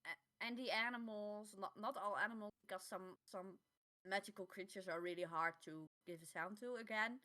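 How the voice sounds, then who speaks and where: A woman co-hosting a podcast in casual chat, halting and repeating words as she finds her phrasing. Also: medium pitch, pushed and bright. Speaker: podcast co-host.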